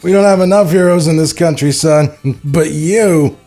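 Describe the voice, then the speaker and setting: A man playing brash, excitable old-time cartoon studio guys with exaggerated delivery in a comedic table read. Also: on the high side, normal speed, faint music behind, voice pushed up. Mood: theatrical.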